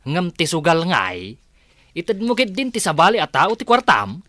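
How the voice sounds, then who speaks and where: A man's voice: a little high, huge pitch swings, moderate pace, pushed and bright, full character voice. A man with an Ilocano accent playing an argumentative, sarcastic, hard-drinking son in an audio drama. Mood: indignant. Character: aggrieved hard-drinking son quarrelling over gambling and money.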